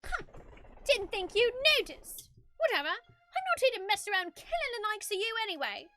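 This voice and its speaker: Woman voicing a snappish, high-pitched young female thief. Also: sing-song, moderate pace, bright, forceful tone, heavily acted. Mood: grudging. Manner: growling, snappish threats. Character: brash, hot-tempered young female thief with a knife.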